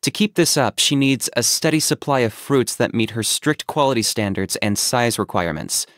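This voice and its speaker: An actor voicing a stoic, businesslike young male saurian hunter in a video game, speaking in a measured, matter-of-fact deadpan. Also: medium pitch, no room sound, studio quality, natural voice. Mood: calm, dry. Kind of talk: scripted video game character monologue.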